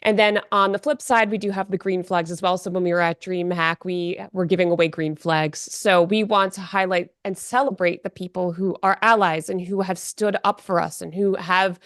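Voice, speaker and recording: A woman on a non-profit board explaining her group's work in a podcast interview, measured and full of "you know". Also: fairly low pitch, fairly even intonation, dry recording, studio quality.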